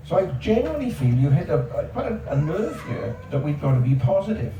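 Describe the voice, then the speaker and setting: A man's voice: a little high, very animated, brisk, dull audio, music in the background. An older man on a discussion panel, speaking emphatically and repeating words for stress. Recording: echoey outdoor space from far off, background noise.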